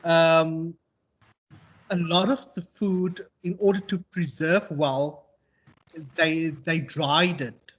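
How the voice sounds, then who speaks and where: A South African man speaking as a university tutor in an informal online class, reflective and halting as he circles back to rephrase. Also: on the high side, fairly even intonation, a bit bright, rough recording. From Western Cape, South Africa. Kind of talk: informal online lecture and discussion.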